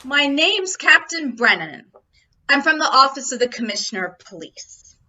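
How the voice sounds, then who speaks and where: A woman playing a polite, unhurried, probing male police captain in old-time radio drama, with a casual, mild manner. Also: high-pitched, big pitch swings, bright, forceful tone, exaggerated voice. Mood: cool, polite. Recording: dull band, faint noise.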